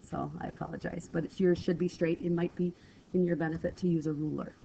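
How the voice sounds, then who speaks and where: A woman math teacher talks students through a screen lesson, stuffy from a cold, her voice mellow and gravelly. She explains patiently and apologizes easily. The recording is dull and lo-fi, with a faint hiss behind it. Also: lowish voice, steady tone, fast.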